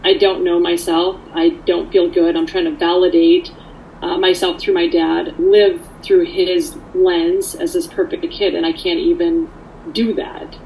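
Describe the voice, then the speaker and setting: Over light background noise, a woman speaks in a lowish voice with plenty of creak. She shares her personal story conversationally, candid and earnest throughout. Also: darkish tone, poor audio. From Canada, born in Poland.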